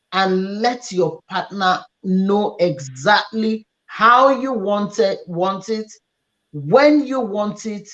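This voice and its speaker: A woman giving closing remarks as a panel guest, speaking in a halting way with fillers. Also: mid-range voice. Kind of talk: closing remarks on a talk show. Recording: muffled lo-fi audio.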